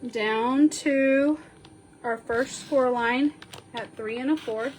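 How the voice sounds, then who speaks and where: A woman sniffling a little as she slowly talks through a card-making tutorial, counting measurements aloud while she works. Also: a little high, a little hiss or hum, poor audio. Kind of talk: step-by-step crafting tutorial.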